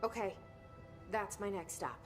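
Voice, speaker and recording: An actress playing a determined young newcomer, speaking in terse, halting, questioning lines of video game dialogue. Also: female voice, mid-range voice, over music, close to an everyday voice. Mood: decided.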